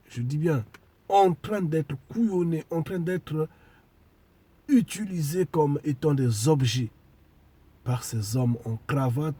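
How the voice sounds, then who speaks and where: Slow, husky man with a cold. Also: on the high side, mellow, no echo. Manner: deliberate, emphatic, repeats key phrases. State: congested with a cold. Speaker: spiritual preacher. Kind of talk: video sermon to followers.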